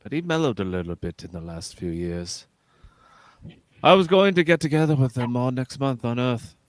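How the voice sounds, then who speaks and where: A man playing a dignified, ageing, reserved starship captain with measured, formal delivery in a sci-fi radio theatre read. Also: mid-range voice, lively intonation, no room sound, natural voice. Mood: reflective.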